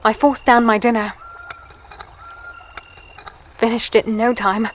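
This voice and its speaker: A higher-pitched young heroine, acted by a woman telling her own dark fantasy tale. She is curious but guarded, polite and a little hesitant. Faint night ambience of crickets sits behind her voice. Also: little pitch movement, a little slow, a little hiss or hum, natural voice. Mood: introspective. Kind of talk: first-person audio drama narration and dialogue.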